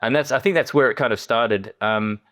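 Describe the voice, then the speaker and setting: A middle-aged man with an Australian accent, speaking conversationally as a podcast guest, haltingly, often repeating words and restarting. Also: mid-range voice, a bit bright. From Perth, Western Australia. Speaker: interview guest, surgeon.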